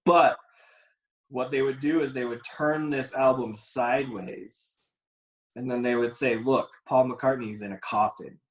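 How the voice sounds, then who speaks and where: A man hosting a casual podcast, presenting to the group while losing his voice and clearing his throat. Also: medium pitch, steady tone, unhurried. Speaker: podcast host. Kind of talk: casual panel talk, presenting slides. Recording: echoey room.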